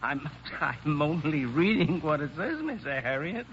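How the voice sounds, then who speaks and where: An old-time radio play's fussy old male lawyer. His voice is high and bright, and he mutters and hesitates kindly as he goes. The recording is muffled and scratchy. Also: big pitch swings, unhurried, full character voice. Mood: wry. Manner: hesitant, muttering, folksy, chuckling. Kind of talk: 1940s radio drama acting.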